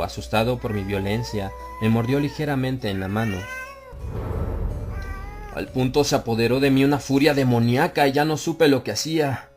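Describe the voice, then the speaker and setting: A man narrating a dark literary tale as an audiobook, in a grave, measured first-person delivery. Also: medium pitch. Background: soft music and ambient effects.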